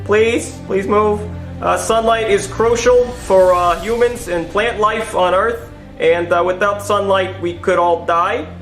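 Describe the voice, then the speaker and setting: A nervous official at a comedy press conference, played by a man, high in pitch and earnest. He halts and stammers through his statement in an echoey room, with faint music behind. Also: fairly even intonation, a little slow, a bit bright. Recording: roomy echo with faint music.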